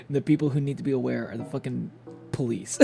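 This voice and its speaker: A man livestreaming a video game, chatting casually and haltingly with viewers, with informal, sweary speech. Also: medium pitch, creaky, warm tone. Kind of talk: casual chat with stream viewers. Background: game music.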